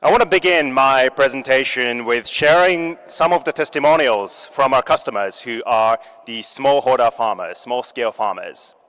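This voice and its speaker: Japanese-born man, upbeat startup pitch, smooth clear voice, echoing hall. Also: moderate pace, few pauses, a bit bright. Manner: upbeat, joking with the audience. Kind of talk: competition pitch presentation. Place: large hall. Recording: long hall reverb.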